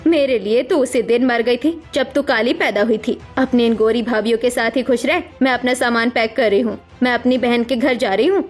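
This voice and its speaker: A woman's voice: on the high side, moderate pace, few pauses, faint music behind, natural voice. A woman voicing a proud, emphatic middle-aged mother in a melodramatic Hindi cartoon story. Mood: bitter, cold. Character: harsh, scornful, status-proud mother, later tearfully remorseful.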